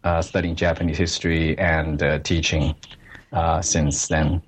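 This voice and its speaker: A man from China, a history professor answering interview questions in a halting way with many fillers. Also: rumbling low, level tone, husky. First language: Chinese.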